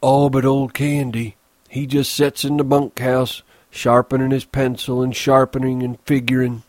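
A man's voice: unhurried, no room sound, lightly acted. A man reading a story, voicing a big simple-minded grown man who talks eagerly and childishly. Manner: pleading, eager, rises high when excited.

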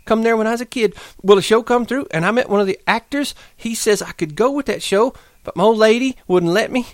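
A man voicing a lonely, restless young woman on a ranch, talking in a quick, coaxing, pleading way. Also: a little high, big pitch swings, no room sound, studio quality, pitched above the performer's own voice. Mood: aggrieved. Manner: pleading, fast-tumbling, coaxing.

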